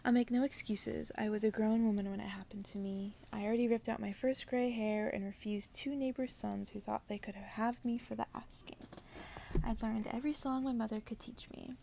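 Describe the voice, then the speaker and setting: A woman reading a story aloud in a soft, breathy ASMR whisper, hushed and gentle. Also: a little high, steady tone, fairly quick, a little hiss or hum.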